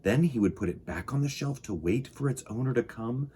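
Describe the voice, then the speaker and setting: A man reading a children's picture book aloud in a theatrical, deliberate storytime style. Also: mid-range voice, lively intonation, warm tone, slight background noise. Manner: dramatic, deliberate, with sound effects and exclamations.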